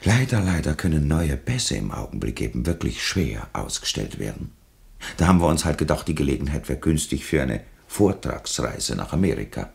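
A patronising Austrian bureaucrat in a radio play. The voice is extremely deep, full of gravelly creak, and slides expressively up and down. He is unctuous and officious, always insisting. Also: very animated, moderate pace, heavily acted. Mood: cajoling. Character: smooth-talking, patronising male government official, insistent and oily. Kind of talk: German-language radio play dialogue.

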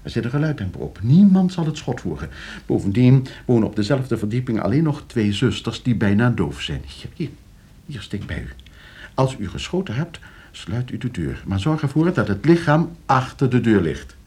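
An actor as a lively-voiced, scheming professor. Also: male voice, mid-range voice, moderate pace, natural voice. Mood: coolly instructing. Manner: polite, precise when instructing, stammering when questioned. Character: scheming, flustered professor plotting against his uncle for inheritance. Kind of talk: radio play acting.

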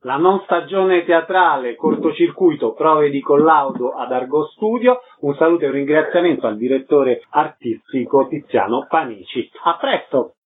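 A man's voice: a little high, animated, normal speed, few pauses, husky. A man hosting on the radio, giving announcements and asking interview questions. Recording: narrow phone-like radio sound.

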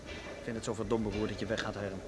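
A man's voice: fairly low pitch, little pitch movement, fast, music in the background, lightly acted. A man acting a teasing, affectionate young friend in a radio play. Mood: teasing, sad. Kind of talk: Dutch radio drama acting.

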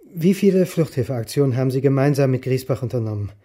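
An actor playing a brooding, dogged history lecturer turned amateur sleuth in a radio drama, terse, pensive and probing. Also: male voice, medium pitch, animated, fast, dark tone, no room sound, lightly acted. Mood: probing, insistent.

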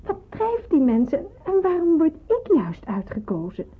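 An actress playing an anxious, questioning young nurse in a Dutch wartime radio play, speaking hesitantly and deferentially. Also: female voice, sits high, huge pitch swings, normal speed. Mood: distraught, doubting. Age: young adult. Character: anxious, questioning seventeen-year-old nurse in wartime hiding. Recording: muffled, phone-like old radio recording.

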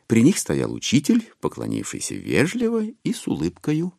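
An actor as the narrator of an audio drama, telling the story in a wry, deliberate literary manner. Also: male voice, medium pitch, huge pitch swings, vocal fry, dark tone, no room sound, studio quality, heavily acted. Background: music.

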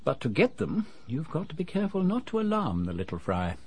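An actor playing an unassuming but knowing retired English civil servant, older, genteel and confidential, in a radio drama. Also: male voice, fairly high pitch, huge pitch swings, a little fast, husky, heavily acted. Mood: sly, confiding.

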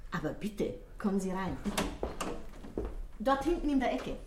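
A woman's voice: medium pitch, sing-song, unhurried, background noise. A talkative concierge in a radio play, heard in a roomy, echoing space. She is polite and obliging, with an eager streak of gossip in the way she speaks. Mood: chatty, obliging. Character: obliging, chatty female concierge of an apartment house. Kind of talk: German radio drama acting.

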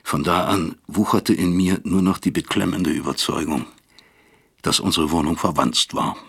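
An extremely deep, creaky and husky male narrator in a radio play. He broods like a remorseful father, reflective, literary and bitter. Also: fairly even intonation, moderate pace, fairly bright tone, heavily acted. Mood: bitterly reflective. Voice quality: gravelly, husky. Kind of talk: radio drama first-person narration.

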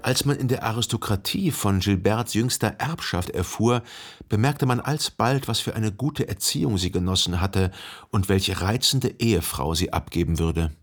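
An actor playing a reflective, observant gentleman who narrates his memories in a literary radio drama, measured and articulate, with a husky voice. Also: on the low side, faint music behind, lightly acted. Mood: detached, measured.

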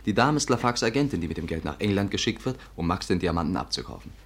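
An actor playing an impatient, condescending and persuasive male companion in a 1950s radio drama, speaking briskly. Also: deep voice, lively intonation. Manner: brisk, patronising.

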